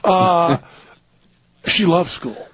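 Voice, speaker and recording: A man's voice: high voice, extremely slow, a bit bright, slightly rough, dry recording. A middle-aged man hosting a radio talk show, joking casually and laughing often.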